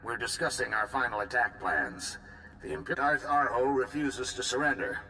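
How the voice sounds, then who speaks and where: A man playing a bright-voiced military commander. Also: medium pitch, moderate pace, a little hiss or hum, lightly acted, poor audio. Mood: commanding. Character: male military supreme commander, decisive and blunt. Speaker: supreme commander. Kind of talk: game voice acting.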